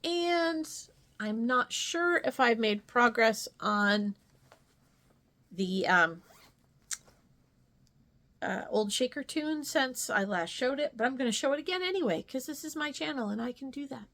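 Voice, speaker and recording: Slow-paced woman chatting casually. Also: on the high side, lively intonation, fairly bright tone. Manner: rambling, thinking aloud, trailing tag questions. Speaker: craft vlogger. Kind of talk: casual chat, showing stitching projects.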